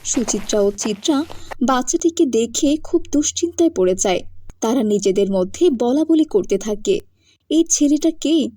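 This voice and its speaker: A woman's voice: fairly high pitch, lively intonation, moderate pace, mellow, no echo. A woman narrating a scripted cartoon story as a storyteller.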